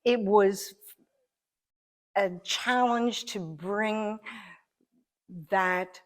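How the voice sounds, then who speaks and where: A woman giving conversational interview answers as a guest, thoughtful and halting as she pieces her phrases together. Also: mid-range voice, fairly even intonation. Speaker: interview guest, amateur stage actor.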